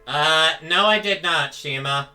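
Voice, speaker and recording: A man with a fairly high, very bright voice streams a video game. He comments casually and chats with viewers over faint game music. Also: a little slow.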